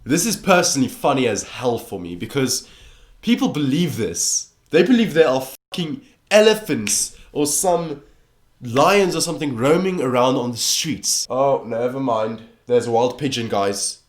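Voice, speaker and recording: A man's voice: mid-range voice, expressive, measured pace. A teenage boy from South Africa with a mixed English and American accent, joking sarcastically and swearing casually as a vlogger, recorded on a phone taped to his monitor. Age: teens. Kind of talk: casual commentary. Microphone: phone taped to a computer monitor.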